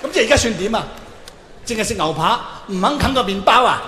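A man's voice: high voice, expressive, fast talker, a crowd in the background. A man voicing a nagging, indignant wife in a comedy routine, whiny and accusing. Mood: sarcastic, aggrieved. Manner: whiny, accusing, rhetorical questioning. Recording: echoey hall with long reverb, clear noise.

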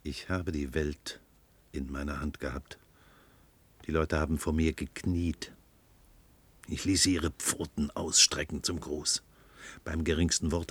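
An actor playing a fanatical demagogue in a German radio play, oratorical and grandiose, stirring oppressed workers to revolt with emphatic, stretched stresses. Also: male voice, extremely low pitch, a bit bright, slightly rough, heavily acted. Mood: brooding, grandiose.

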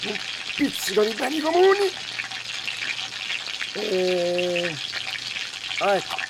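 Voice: medium pitch, sing-song, takes it slowly. A performer voicing a fussy cook in a parody cooking sketch, savoring each step in a sing-song way. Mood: playful, savoring. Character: fussy cook frying up a recipe of buzzwords. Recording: loud frying and kitchen water noise.

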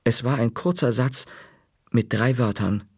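A man narrating a children's story in a lively, expressive audiobook reading. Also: medium pitch, unhurried, breathy edge, no room sound, clean studio sound.

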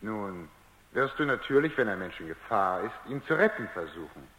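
A man's voice: fairly low pitch, big pitch swings, normal speed, bright, forceful tone. An actor playing a defensive, condescending male scientist in a German radio drama. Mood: patronising, instructive. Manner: condescending, stammers when flustered. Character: defensive, patronising male scientist, testy under questioning. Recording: echoey, reverberant radio drama recording.

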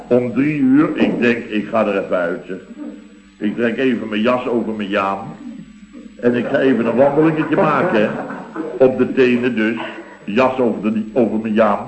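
An actor playing a self-important building-firm boss in an old radio comedy, blustery and given to repeating himself. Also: male voice, mid-range voice, normal speed, close to an everyday voice. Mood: grumbling. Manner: blustery, repeats himself, tags phrases with interjections. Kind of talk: 1960s-70s Dutch radio comedy acting. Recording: dull, roomy old radio recording.

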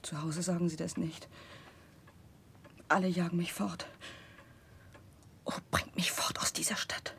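An actress playing an ashamed, miserable young outcast in a 1960s German radio drama, speaking with a bitter, broken, pleading manner. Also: female voice, fairly low pitch, level tone, measured pace, vocal fry, long pauses, fairly bright tone, in a whisper. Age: young adult.

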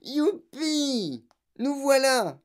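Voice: mid-range voice, measured pace, darkish tone, pitched above the performer's own voice, close to an everyday voice. A narrator voicing a kindly, enthusiastic old grandfather in a storybook, full of wonder. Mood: elated.

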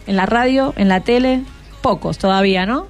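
A woman's voice: mid-range voice, normal speed, faint music behind. A woman with a Buenos Aires accent presenting and interviewing live on radio, conversational and often ending on tag questions. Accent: Buenos Aires Argentine. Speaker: radio host. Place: radio studio. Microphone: studio microphone.

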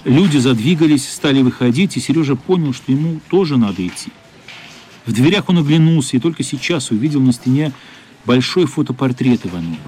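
A man narrating a literary radio play in a measured, somber, dramatic style. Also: mid-range voice. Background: faint music.

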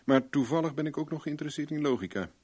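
An actor playing a shrewd, self-assured private investigator in a Dutch radio play, probing in a blunt, wry, plain-spoken way. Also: male voice, a little high, expressive, fast talker, darkish tone, slightly rough. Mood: firm, matter-of-fact.

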